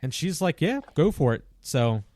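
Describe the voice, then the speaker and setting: A man's voice: fairly high pitch, sing-song, normal speed, darkish tone, dry recording, studio quality. Easygoing man in his late thirties. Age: 30s. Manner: rambling, easygoing, laughs often. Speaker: podcast host. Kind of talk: casual chat.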